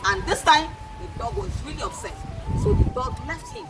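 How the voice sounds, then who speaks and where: A woman's voice: high-pitched, huge pitch swings, moderate pace, music in the background. A woman with a Nigerian accent who tells folktales to children as an educator, repeating points and asking questions in a teacherly way.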